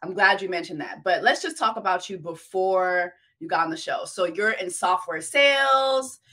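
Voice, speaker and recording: A woman's voice: on the low side, moderate pace. A woman interviewing a guest in a playful, chatty way. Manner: playful, expressive questioning. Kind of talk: casual interview chat.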